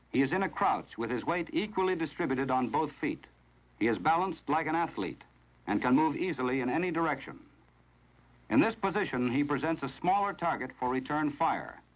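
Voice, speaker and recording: An American man with a slightly high-set voice narrates an instructional film from the 1970s. His delivery is formal, scripted and measured, giving clear instructions. It has the sound of a vintage film soundtrack. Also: poor audio.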